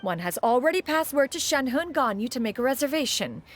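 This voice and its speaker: Ancient crane spirit, stately old lady, archaic formal speech, haughty, lively pitch. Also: a little fast, faint music behind. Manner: archaic, formal, haughty, speaks of herself as "one".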